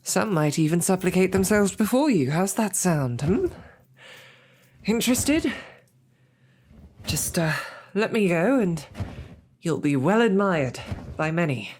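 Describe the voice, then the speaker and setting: A woman's voice: lowish voice, expressive, slow, pauses often, darkish tone, slight background noise. A woman voicing a snarky, formal captured royal knight in an audio roleplay. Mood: wry, coaxing. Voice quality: formal, wordy and wry. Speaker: audio drama performer.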